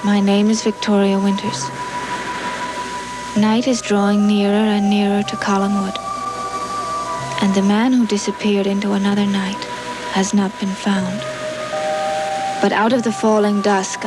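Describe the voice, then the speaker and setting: An actress playing a solemn young woman who narrates a gothic television soap opera in a measured, foreboding way. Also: mid-range voice, completely flat delivery, lightly acted, low-quality recording. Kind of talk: scripted 1960s gothic television voice-over. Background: music.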